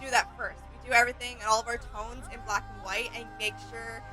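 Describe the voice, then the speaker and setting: A woman's voice: a little high, fairly even intonation, fast talker, music in the background, rough recording. A woman chatting casually and enthusiastically as co-host of a reaction video. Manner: enthusiastic, appreciative. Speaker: co-host of a reaction channel, artist.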